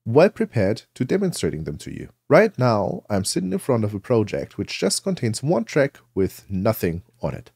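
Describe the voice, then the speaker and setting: A man presenting a software tutorial, explaining things step by step into a close microphone. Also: fairly low pitch, huge pitch swings, moderate pace, vocal fry, hardly any pauses, breathy edge, no echo.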